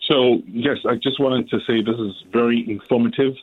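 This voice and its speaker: A man calls in over a thin phone line, hurried and matter-of-fact. His voice sits at an everyday pitch. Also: fairly even intonation, slightly rough. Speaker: caller, chemist. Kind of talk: radio call-in.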